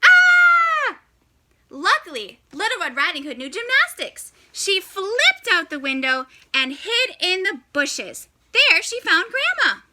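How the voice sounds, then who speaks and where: A woman reading a children's story aloud in an animated, expressive way with dramatic pauses. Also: extremely high pitch, very noisy.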